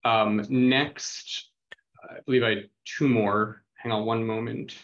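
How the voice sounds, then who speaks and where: A man's voice: lowish voice, steady tone, slow, a bit bright. Man on a dull, lo-fi call line. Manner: businesslike, reads case details. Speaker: city attorney. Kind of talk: formal hearing remarks.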